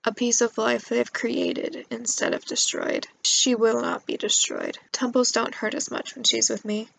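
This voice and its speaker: A woman's voice: on the high side, little pitch movement, measured pace, creaky, fairly bright tone, rough recording. A young woman, a student storyteller, reading a scripted poetic monologue. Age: young adult.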